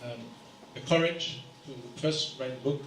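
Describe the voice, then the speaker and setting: A man from Kenya asking a question from the audience at a round table, in a measured, explanatory way. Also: mid-range voice, a bit bright. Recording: echoey room, picked up from a distance.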